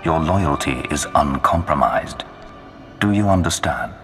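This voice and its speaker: Cavernous, very deep menacing Sith lord. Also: male voice, animated, over music. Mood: imperious. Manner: grandiose, slow, commanding. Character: menacing male Sith lord of a dark empire. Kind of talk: video game villain acting. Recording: long cavernous reverb.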